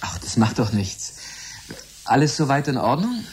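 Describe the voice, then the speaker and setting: An actor playing an eccentric, fussy, polite laboratory scientist in a German radio drama, absorbed and precise. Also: male voice, mid-range voice, big pitch swings, moderate pace, a little mellow, a little hiss or hum, close to an everyday voice. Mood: businesslike.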